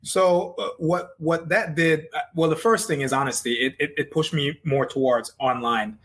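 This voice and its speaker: A man, a business coach, answering interview questions in an energetic, conversational way with many fillers and restarts. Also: a little high, normal speed.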